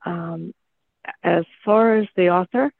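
A woman with a gravelly, fairly high voice comes through a narrow, phone-like line. She talks in an earnest, steady way. The sound is dry, with no room around it. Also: takes it slowly, no room sound. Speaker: book group member. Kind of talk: casual group discussion.

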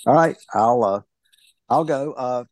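A man's voice: medium pitch, measured pace, a little mellow, no echo. A man casually giving his take in a book discussion over a video call, wry and often repeating words as he stammers along. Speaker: book club member.

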